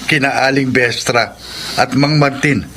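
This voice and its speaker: A man's voice: mid-range voice, fairly even intonation, a little slow, bright, forceful tone. A man acting in a radio drama as a concerned young friend, earnest and admonishing. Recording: noisy radio drama recording.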